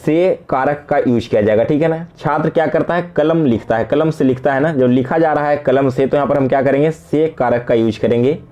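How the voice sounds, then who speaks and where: A man's voice: medium pitch, fairly quick, hardly any pauses, warm tone. A young man working as an exam-prep tutor, explaining quiz questions conversationally, asking rhetorical questions, checking in and mixing in English words. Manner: mixes English words into speech.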